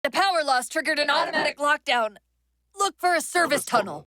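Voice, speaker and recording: Animated, high-voiced, urgent AI companion. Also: female voice, brisk, no echo, studio quality. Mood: brisk, commanding. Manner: crisp, technical, commanding, dryly wry. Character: female AI companion, urgent, sharp, wry military guide. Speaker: video game voice actor. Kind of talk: video game dialogue, tactical callouts.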